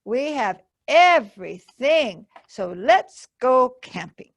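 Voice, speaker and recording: A woman's voice: fairly high pitch, big pitch swings, takes it slowly, dry recording, exaggerated voice. A woman voicing a small, eager, confident female mouse in a children's storybook, encouraging and a little bossy. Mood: cheerful, encouraging. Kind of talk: storybook character reading.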